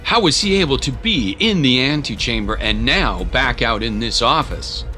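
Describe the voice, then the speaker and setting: A man's voice: medium pitch, animated, normal speed, bright, forceful tone. A man narrating a dramatic story from a script, with music behind him. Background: clear music.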